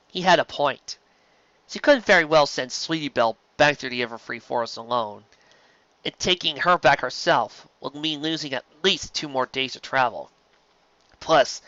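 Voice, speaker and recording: An expressive narrator reading scripted story fiction into a close microphone, on a slightly dull home recording. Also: medium pitch, no room sound. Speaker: fan fiction audiobook narrator.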